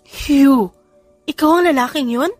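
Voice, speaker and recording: A woman voicing a flustered, apologetic young bride in an audio drama, startled and hurried. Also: high voice, dry recording, soft background music, voice pushed up. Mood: shocked.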